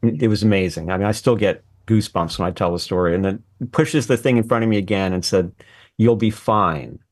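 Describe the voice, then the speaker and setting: A man's voice: on the low side, fast, a little mellow. A man talking as an interview guest, telling a personal story conversationally and acting out bits of remembered dialogue. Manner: acts out quoted dialogue while reminiscing. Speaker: interview guest, former research assistant and scholar. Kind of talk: animated anecdotal storytelling in conversation.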